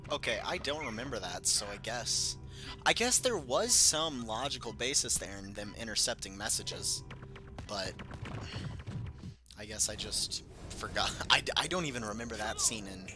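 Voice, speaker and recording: A man's voice: on the low side, animated, moderate pace, music in the background. A young man reading aloud a book he wrote as a child, breaking off into self-mocking, rambling asides. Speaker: reader of his own childhood book. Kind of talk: casual read-through with commentary.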